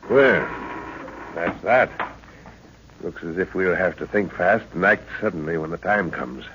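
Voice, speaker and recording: A man acting a commanding, middle-aged expedition leader in an old-time radio serial, measured and authoritative. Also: sits low, expressive. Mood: thoughtful. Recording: muffled, noisy old radio recording.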